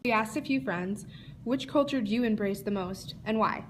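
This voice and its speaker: A woman's voice: medium pitch, normal speed, a little hiss or hum. Young woman reading a scripted intro. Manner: clear, read-aloud delivery. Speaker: narrator. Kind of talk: scripted narration.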